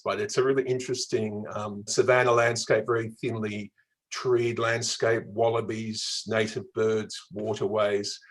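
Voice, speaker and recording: A man's voice: lowish voice, husky, low-quality recording. A man from Melbourne with a mild Australian accent, telling travel stories conversationally as an interview guest. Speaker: travel writer being interviewed.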